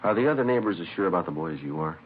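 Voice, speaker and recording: A clipped, matter-of-fact police detective with an extremely deep voice. He talks quickly, narrating and questioning in a 1950s radio crime drama. The old recording is dull with a faint hiss. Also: expressive.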